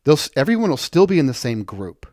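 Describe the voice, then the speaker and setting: A man giving an unscripted software walkthrough, explaining step by step and often rephrasing his points. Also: fairly high pitch, sing-song, quick pace, no echo, studio quality. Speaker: software tutorial presenter. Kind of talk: unscripted screen-share walkthrough.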